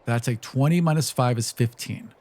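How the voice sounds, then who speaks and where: A man's voice: mid-range voice, moderate pace, a little mellow. A man playing a tabletop roleplaying game, casually narrating what his character does. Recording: music playing behind the voice. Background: background music.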